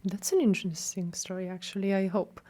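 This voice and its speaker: A young woman from Italy speaks English with an Italian accent as an interview guest. She talks in an animated, casual way. She often stops to refill her thoughts with fillers and restarts. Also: medium pitch, fairly quick. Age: young adult. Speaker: guest researcher.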